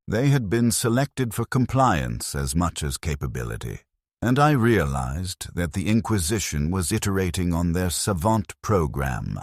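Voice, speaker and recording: A man narrating a first-person story in a grave, measured, scripted delivery. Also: very deep voice, breathy edge, dry recording, clean studio sound.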